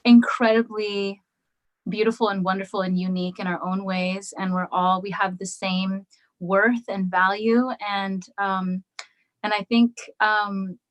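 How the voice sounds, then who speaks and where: A woman's voice: medium pitch, little pitch movement, measured pace. Woman, musician guest, casual interview, reflective, hesitant, frequent restarts.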